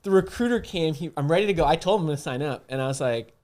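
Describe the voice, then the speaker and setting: Chatty male podcast host, slightly high voice. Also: expressive, fast talker, a little mellow. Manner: informal, slangy. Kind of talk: casual chat, animated storytelling.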